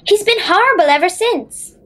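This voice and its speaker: A woman playing an earnest, warm-hearted young Irish girl in a children's audio drama. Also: very high-pitched, expressive, moderate pace. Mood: hurt.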